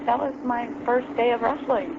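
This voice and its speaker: A woman's voice: on the high side, steady tone, moderate pace. A young adult woman telling her story as an interview guest over the phone, casual and full of ums and you-knows. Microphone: phone call. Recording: lo-fi phone line, noisy.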